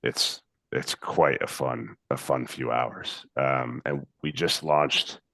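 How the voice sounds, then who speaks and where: An American man talking casually on a video call about his distillery, relaxed and halting with many fillers. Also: rumbling low, no room sound. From United States. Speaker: distillery representative.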